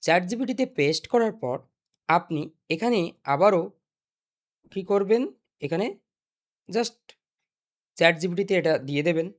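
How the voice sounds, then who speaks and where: High-pitched, animated young male tutorial presenter. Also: moderate pace, long pauses. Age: young adult. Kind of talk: step-by-step spoken tutorial. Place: small indoor desk studio. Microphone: desk microphone.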